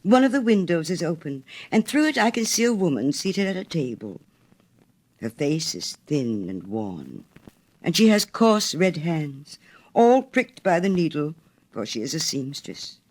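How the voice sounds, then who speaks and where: A woman plays a noble young prince turned storybook statue, full of sorrow. The voice is low and musical, and the delivery is stately and mournful, with expressive rises and falls. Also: a little slow, heavily acted. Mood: compassionate. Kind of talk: storybook character reading.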